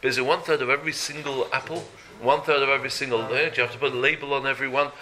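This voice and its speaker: A man leads a casual Torah class in a bright voice, stumbling over words and often asking "yeah?". A faint hiss sits behind him. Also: medium pitch, fairly even intonation, poor audio. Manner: halting, repeats words, checks in with "yeah?".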